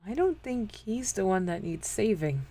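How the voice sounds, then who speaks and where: A woman's voice: mid-range voice, very animated, moderate pace, warm tone. A woman with a teasing, bawdy manner, joking casually with friends as she streams a game. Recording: music behind the voice.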